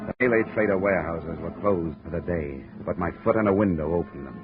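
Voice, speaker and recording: A man's voice: low-pitched, quick pace, over music, natural voice. A man acting a tough, wisecracking investigator in a 1950s radio detective drama, with an American accent and a clipped, needling manner. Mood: matter-of-fact narration. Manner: hard-boiled, needling, clipped. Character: tough, wisecracking male insurance investigator narrating his case. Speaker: lead actor and narrator. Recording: muffled, noisy 1950s radio recording.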